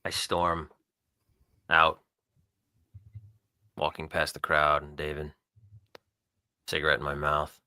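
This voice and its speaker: A man's voice: very deep voice, flat delivery, takes it slowly, long pauses, sharp tone, no echo, clean studio sound. A man narrating his roleplay character's actions in moody, unscripted first person, in short atmospheric fragments. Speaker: roleplay player.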